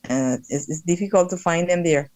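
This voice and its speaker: A woman from Guyana with a Guyanese accent, calling in to a broadcast and speaking haltingly, with formal blessings. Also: fairly low pitch, a little mellow, no room sound. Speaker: caller.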